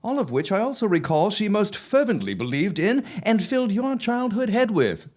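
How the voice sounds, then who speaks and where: A woman's voice: mid-range voice, big pitch swings, moderate pace, darkish tone. A woman playing a jovial, skeptical middle-aged husband of the 1910s in an audio drama, with a grandiloquent, teasing manner. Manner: grandiloquent, old-fashioned, teasing. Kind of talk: period audio drama acting.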